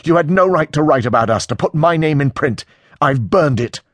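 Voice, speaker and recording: A man's voice: medium pitch, very animated, moderate pace, slightly rough, no echo, pitched above the performer's own voice. A man voicing an angry, defensive male character in an audiobook, mocking and aggrieved. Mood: spiteful. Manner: menacing, mocking, indignant.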